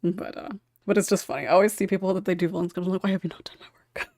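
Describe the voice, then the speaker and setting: A woman's voice: lowish voice, steady tone, very fast, vocal fry, darkish tone, no echo, clean studio sound. A woman hosting a casual panel discussion, chatty and lightly teasing in manner. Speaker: podcast host and script writer.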